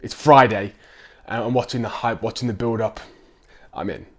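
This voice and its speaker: A man with a croaky voice from a chest infection, chatting casually about upcoming fights and filling his talk with ums and restarts. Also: on the low side, moderate pace. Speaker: sports vlogger. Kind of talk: casual chat to camera.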